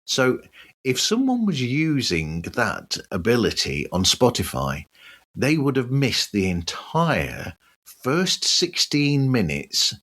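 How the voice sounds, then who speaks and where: A man hosting a podcast, reading a scripted news roundup in a measured, deliberate way. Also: lowish voice, fairly bright tone. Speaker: podcast host.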